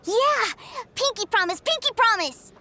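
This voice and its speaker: A woman's voice: very high-pitched, animated, measured pace, noisy background. A woman voicing an innocent, eager little boy in an English video game, with an earnest, bubbly, childlike manner. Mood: excited. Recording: faint background music.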